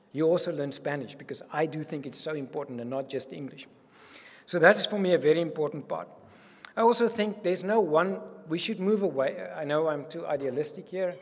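An older man from South Africa with an Afrikaans-accented voice, giving an earnest, animated welcome speech as a university provost at a podium microphone. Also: on the high side, slightly rough. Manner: earnest and animated, gesturing widely. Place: reception hall with curtains. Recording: long hall reverb.

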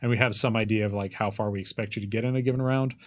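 A man's voice: on the low side, steady tone, rapid-fire. A man in his thirties giving casual explanations as a game designer, in a conversational, hedging way full of asides. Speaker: game designer answering rules questions. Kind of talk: casual explanatory panel talk. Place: indoor studio table with curtain backdrop. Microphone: tabletop microphone on a stand.

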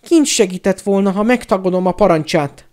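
A man's voice: sits high, darkish tone, pitched above the performer's own voice, close to an everyday voice. A man voicing a cowardly, snivelling middle-aged traitor in an audiobook, whining and pleading in a wheedling, grovelling way. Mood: snivelling excuses. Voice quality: whining, whimpering. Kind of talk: audiobook character acting.

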